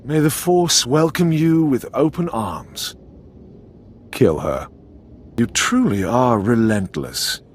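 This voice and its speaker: An actor playing a cold, haughty older Sith lord in a video game, formal and condescending. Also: male voice, medium pitch, lively intonation, slow pace, slight background noise. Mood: cold, regretful. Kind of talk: dramatic video game acting.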